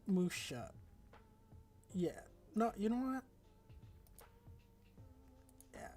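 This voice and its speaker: Congested raspy man rambling through game talk. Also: high voice, very animated, slow pace, darkish tone, faint music behind. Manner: loose and rambling, with frequent fillers and self-corrections. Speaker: gaming video creator. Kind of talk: casual tier-list commentary.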